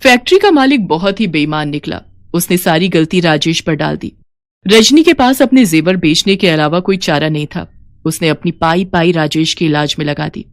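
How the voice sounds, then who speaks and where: A woman's voice: sits low, lively intonation, normal speed, no echo, clean studio sound. A woman reading scripted story narration as the narrator.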